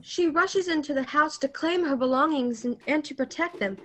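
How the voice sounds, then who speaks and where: A woman's voice: high voice, moderate pace, a bit bright. A woman narrator reading scripted stage directions for a radio play, recorded remotely. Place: remote home recording over video call.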